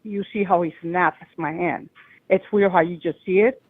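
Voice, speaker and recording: Woman caller, loud voice, rambling and agitated, tinny phone line, dry sound. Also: on the low side, steady tone, normal speed, no echo. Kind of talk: call-in show phone call.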